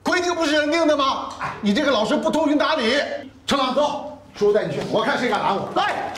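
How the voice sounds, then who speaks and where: An actor playing a hot-tempered, protective uncle, loud and blustering, in an echoey screen drama scene with music behind. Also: male voice, very high-pitched, lively intonation, slow pace, a little hiss or hum, exaggerated voice. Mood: defiant, indignant.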